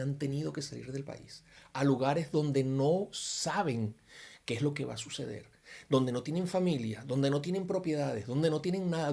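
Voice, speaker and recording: A man's voice: on the high side, gravelly, a little mellow, slightly rough. A man from Venezuela with a Venezuelan Spanish accent, giving an informal, earnest Bible reflection full of rhetorical questions and restarts. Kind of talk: informal sermon-style reflection.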